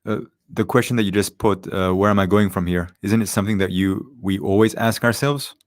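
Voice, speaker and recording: A man's voice: on the low side, brisk, no room sound. A man hosting a casual interview, asking his question hesitantly and rephrasing it as he goes. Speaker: podcast host.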